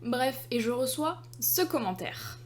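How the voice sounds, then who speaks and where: A young woman from France, an artist, chatting casually to her viewers in an exasperated, lively way full of fillers. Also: sits high, a little slow, slight background noise. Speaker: artist and video creator. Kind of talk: casual chat to the camera.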